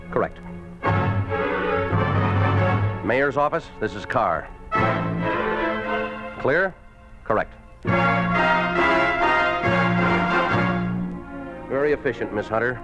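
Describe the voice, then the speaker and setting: An actor in a 1950s radio drama playing a smooth, domineering labor official who talks in a curt, commanding, persuasive way. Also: male voice, mid-range voice. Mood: brisk, commanding. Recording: muffled, noisy 1950s radio recording.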